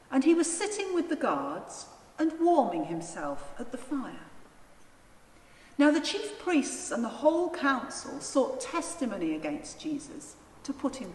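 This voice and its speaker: A woman narrating a dramatised scripture reading in a solemn, deliberate manner. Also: fairly high pitch. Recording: echoey church, long reverb, faint noise.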